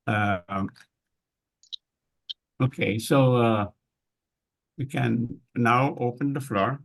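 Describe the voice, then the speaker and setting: Low-voiced man moderating, halting delivery. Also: vocal fry, a bit bright. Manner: halting, searching for words. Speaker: moderator of an online discussion. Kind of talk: informal spoken moderation. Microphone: online video call.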